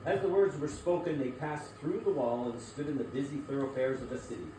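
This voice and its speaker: A man's voice: on the high side, steady tone, brisk. A man narrating a scripted story as a dramatic reading. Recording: very echoey hall, distant mic, music behind.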